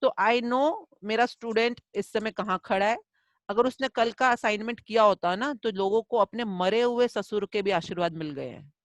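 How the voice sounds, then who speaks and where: A woman's voice: mid-range voice, normal speed, dry recording. A middle-aged woman teaching a gratitude course over a video call, mixing Hindi and English and acting out everyday examples as she answers a student. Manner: mixes Hindi and English, answers with examples and lively acted quotes. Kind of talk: live online class, coaching a student.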